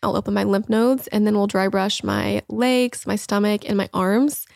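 Upbeat young woman, flat and gravelly. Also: medium pitch, normal speed, no echo, clean studio sound. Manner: upbeat, chatty, lists recipes and habits. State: slightly congested. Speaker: podcast host. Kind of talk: solo wellness podcast talk.

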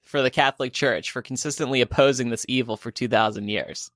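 A man's voice: medium pitch, fairly quick, fairly bright tone, no room sound, studio quality. A man co-hosting a comedy podcast, presenting news stories and commenting on them.

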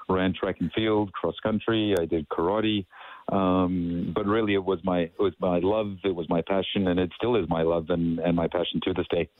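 South African coach, low voice, tinny dry phone line, upbeat phone interview. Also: male voice, no echo. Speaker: soccer coach. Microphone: telephone.

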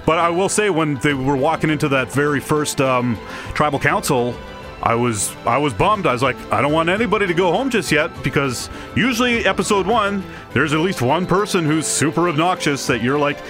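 A man co-hosting a podcast in casual conversation, telling things in an animated, emphatic way. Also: on the high side, fairly quick. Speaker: podcast co-host. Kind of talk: casual chat about TV. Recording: music behind the talk.

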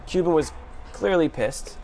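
A man's voice: on the high side, unhurried, mellow. A man hosting a sports show, giving informal, slangy basketball commentary in a conversational way. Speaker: sports podcast host. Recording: clear background noise and hum.

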